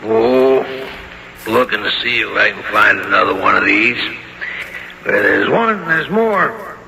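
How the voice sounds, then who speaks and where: An actor playing a gentle, wistful backwoods man of about sixty with folksy rustic speech in a 1970s radio drama. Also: fairly low pitch, lively intonation, unhurried, gravelly. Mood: folksy, curious. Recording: 1970s radio, cave-like reverb, faint noise.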